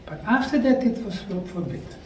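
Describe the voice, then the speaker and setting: A man with a Bosnian accent giving a deliberate, emphatic lecture to a live audience. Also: sits high, lively intonation. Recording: echoey room, mic far off, faint noise.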